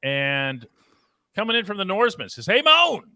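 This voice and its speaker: Bright-voiced sports talk host. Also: male voice, high voice, wildly swooping pitch, creaky. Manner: brisk, plugs and reads comments.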